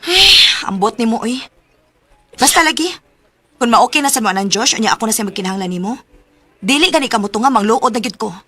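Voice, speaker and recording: Young Cebuano Filipina, fed-up best friend in radio drama; highish voice, emphatic, reproachful. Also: female voice, animated, brisk, long pauses, fairly bright tone, no room sound. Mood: hurt, reproachful. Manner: scolding, emphatic. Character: blunt, exasperated young best friend who feels taken for granted.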